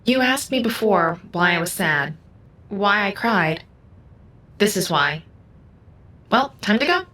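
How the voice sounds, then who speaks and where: A woman playing a gentle, solemn, all-seeing computerized being in an audio drama, speaking in a measured, cryptic way. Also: medium pitch, animated, heavily acted. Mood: solemn, sad. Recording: music under the voice.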